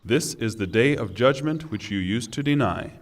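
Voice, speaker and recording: A man's voice: mid-range voice, lively intonation. Solemn man reading scripture with long reverb. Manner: solemn, deliberate, formal. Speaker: reader of Quran translation.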